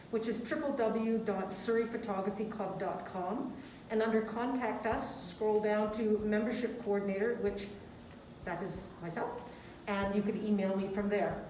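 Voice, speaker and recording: A woman's voice: medium pitch, darkish tone, slight background noise. A woman speaking as president of a photography club in an interview, friendly and plain-spoken. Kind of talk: casual interview. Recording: echoey room, far from mic, with music.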